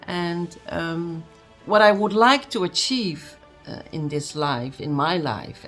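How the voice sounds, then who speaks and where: An earnest speaker gives a motivational message, pausing often with fillers and restarts, at a mid-range pitch. Faint music sits behind the voice. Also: a bit bright. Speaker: speaker at a book launch.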